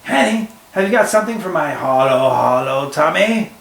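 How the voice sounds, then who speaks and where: A man's voice: a little high, animated, moderate pace, vocal fry, roomy sound. A man voicing a hungry, greedy little male tomten in a children's storybook, with a singsong, wheedling manner that turns to threats. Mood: pleading. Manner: singsong wheedling demands, later shouting threats.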